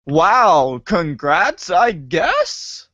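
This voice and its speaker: A man acts out an exasperated, excitable boy in a bright, high voice. He is theatrical and foul-mouthed, prone to drawn-out wails, in a comedic readers' theater recorded close and dry. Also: no echo, highs cut off, heavily acted. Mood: casual, grumbling.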